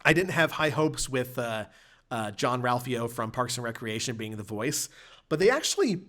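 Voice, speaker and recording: A man with a mid-pitched voice hosts a casual review podcast. He talks in a conversational, halting way, thinking aloud and restarting phrases as he builds each point. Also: lively intonation, fairly bright tone. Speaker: podcast host. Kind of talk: casual film review chat.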